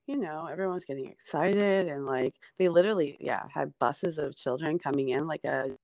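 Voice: medium pitch, normal speed, vocal fry, a little mellow, no room sound. A comedian in their forties, guesting on a podcast in casual, rambling chat, full of fillers. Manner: rambling, filler-heavy, chatty.

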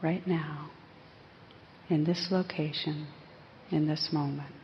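A woman teaching a guided meditation in a gentle, unhurried way, pausing between phrases. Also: low voice, steady tone. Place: live evening group session. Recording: slightly muffled live recording, faint hiss.

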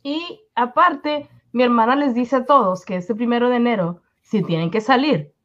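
A dull, lo-fi recording of a woman with a Mexican accent and a fairly high voice. She chats casually and colloquially on a live stream. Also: normal speed, fairly bright tone. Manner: informal, colloquial.

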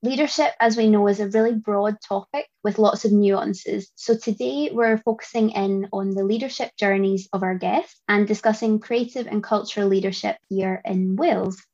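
A woman's voice: medium pitch, fairly even intonation, normal speed, few pauses, darkish tone. Upbeat woman podcast host, dull sound. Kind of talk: scripted podcast introduction and interviewing. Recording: dull remote home recording.

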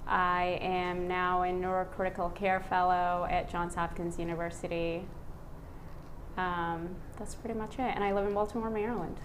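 A woman's voice: fairly low pitch, normal speed, a little hiss or hum. 30s woman from iraq, doctor on a podcast, chill and thoughtful, lots of likes and ums. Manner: relaxed, reflective, many "um" and "like" fillers. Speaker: podcast guest, physician. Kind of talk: casual conversational interview.

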